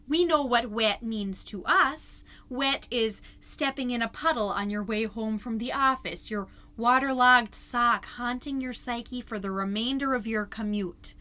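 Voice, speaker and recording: A woman's voice: high voice, pushed and bright, full character voice. A woman playing a chirpy, gushing suburban PTA mom who hosts a podcast, delivering a comedic monologue in a theatrical, folksy and emphatic way. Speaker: podcast host.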